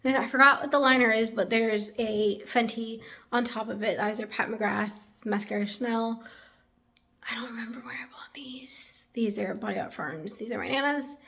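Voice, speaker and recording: A young woman chatting casually to her camera at home, rambling with fillers and dropping into whispered asides, her voice a little raspy. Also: a little high, fairly bright tone. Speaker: vlogger.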